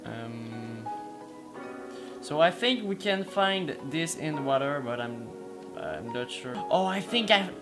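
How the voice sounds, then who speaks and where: A young man who is not a native English speaker, excitedly commentating his own gameplay from a headset in a small room, stumbling and exclaiming often. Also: on the high side, slow pace, music in the background. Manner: excitable, hesitant, frequent exclamations. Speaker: gaming channel host.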